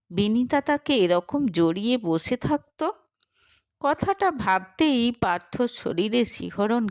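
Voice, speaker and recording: Lady telling an audio story, takes it slow, really close dry sound. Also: on the high side, no echo. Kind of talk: scripted dramatic storytelling narration.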